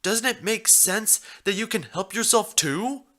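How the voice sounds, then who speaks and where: A clueless stallion from a pony story, put on by a man, stubborn and puzzled. He pesters with insistent questions in a raised, sharp, bright voice. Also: high voice, unhurried, voice pushed up. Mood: stubborn, incredulous.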